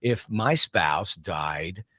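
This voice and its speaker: Lowish-voiced man, dry sound. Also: lively intonation, very slow, bright, forceful tone, no room sound. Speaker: guest panelist. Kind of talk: casual commentary.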